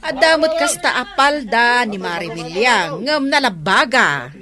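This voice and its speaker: An actress with an Ilocano accent playing a matter-of-fact, persuasive village neighbour in a comedy drama. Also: female voice, a little high, sing-song, measured pace, bright, forceful tone, heavily acted.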